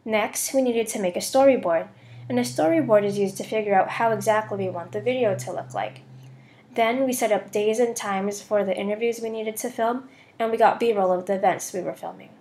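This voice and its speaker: A woman's voice: mid-range voice, brisk, darkish tone. Student presenter, young American female, mid-range voice, scripted slideshow narration. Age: young adult.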